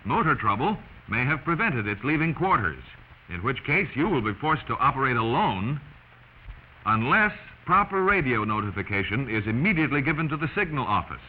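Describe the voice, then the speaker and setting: A man's voice: mid-range voice, big pitch swings, a little fast. An American man narrating a 1940s instructional film in an emphatic, instructive manner, addressing the listener directly. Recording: muffled, noisy 1940s film soundtrack.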